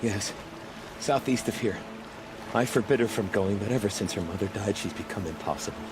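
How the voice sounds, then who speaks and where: Male actor as low-voiced, desperate widowed father. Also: fairly quick, background noise, close to an everyday voice. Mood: troubled. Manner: pleading. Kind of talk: video game dialogue acting.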